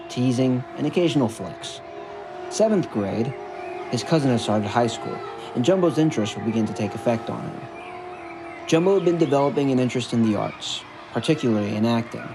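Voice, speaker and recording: A man reading a scripted story narration in a measured, earnest way. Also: mid-range voice. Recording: music bed under the narration. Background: background music.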